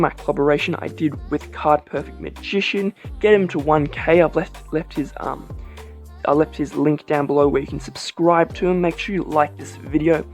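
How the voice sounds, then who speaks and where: A fairly high-voiced man hosting a casual card review vlog. He talks in a relaxed, rambling way with plenty of "um"s, over faint music in the background. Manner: relaxed, rambling, many fillers.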